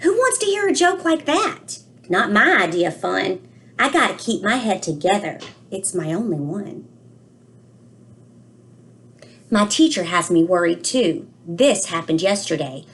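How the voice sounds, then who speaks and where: A woman's voice: medium pitch, very animated, moderate pace, fairly bright tone. Anxious, literal-minded little boy played by a woman, fretting in singsong storybook rhyme. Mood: fretful, indignant. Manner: worried, singsong rhyming delivery. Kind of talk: expressive storybook reading.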